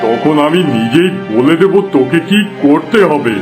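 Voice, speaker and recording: A man's voice: on the high side, animated, a little slow. A man voicing a stern tantric holy man in a fairy-tale cartoon, solemn and authoritative. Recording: music behind the voice.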